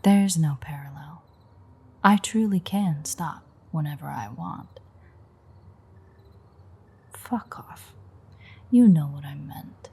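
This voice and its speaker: Actress as female vampire mechanic for audio roleplay: lowish, gravelly, dry, playful and unrepentantly predatory. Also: expressive, slow pace, long pauses, a little mellow, exaggerated voice. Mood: defensive, wry. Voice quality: husky, gravelly, breathy. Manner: dry, teasing, sarcastic. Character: teasing, sardonic female vampire mechanic, unrepentant man-eater. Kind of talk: audio roleplay acting, intimate one-sided dialogue.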